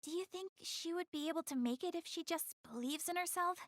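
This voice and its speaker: A woman's voice: extremely high pitch, a little fast, no room sound, clean studio sound. A woman voicing a proud, easily flustered young goddess girl in an anime game dub, speaking expressively and directly to the player. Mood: earnest, hopeful. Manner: expressive, hesitant when covering up embarrassment.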